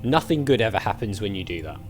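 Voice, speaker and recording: A man's voice: lowish voice, big pitch swings, fast talker. A man giving casual let's play commentary on an adventure game, chatty and musing aloud as he goes. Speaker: gamer playing an adventure game. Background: game music.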